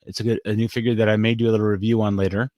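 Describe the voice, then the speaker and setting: A man's voice: on the low side, level tone, very fast, warm tone, no room sound, studio quality. A man hosting a casual vlog, chatting upbeat and playful as he shows off his collectibles to the camera. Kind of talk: casual show-and-tell chat to camera.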